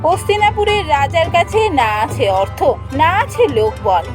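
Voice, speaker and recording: A woman's voice: extremely high pitch, big pitch swings, moderate pace. A woman narrating a scripted fairy tale for a cartoon as its storyteller. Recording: music under the voice.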